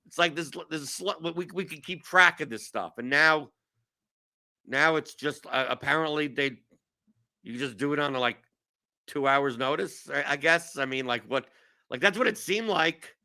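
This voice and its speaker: A man's voice: a little high, moderate pace, pushed and bright. A man in his forties from New York, hosting a casual show in a rambling, self-mocking way with lots of restarts. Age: 40s. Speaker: show host. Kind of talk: casual chat.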